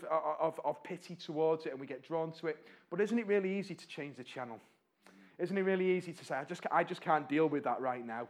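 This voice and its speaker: A man from North West England, speaking in a church for a charity, chatty, with fillers and questions to the crowd. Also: on the high side. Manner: warm-hearted, chatty, asks the audience questions; fillers and restarts, pauses while slides change. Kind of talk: church talk to a congregation.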